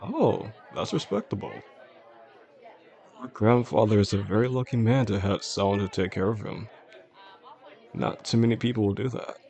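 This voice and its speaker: Charming boss on a dinner date, played by a male actor: low, creaky voice, soft-spoken, flirtatious, intimate audio drama. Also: animated, moderate pace, pauses often. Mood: curious, gentle. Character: charming attentive boss courting his employee, quietly possessive man. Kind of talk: intimate audio roleplay, addressing the listener. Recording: faint restaurant crowd behind the voice.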